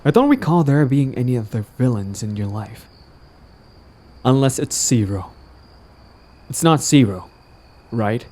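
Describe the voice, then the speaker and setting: A man's voice: medium pitch, sing-song, unhurried, pauses often, darkish tone. A man playing a smug, possessive young villain in a romantic audio drama, talking in a casual, teasing, cajoling way. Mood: jealous, irritated. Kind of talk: roleplay audio drama, conversational acting.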